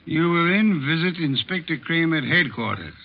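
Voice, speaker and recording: An actor playing a portly, imperious armchair detective in 1950s radio drama, formal, pedantic and commanding. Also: male voice, a little high, animated, normal speed. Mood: stern, commanding. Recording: dull old radio broadcast.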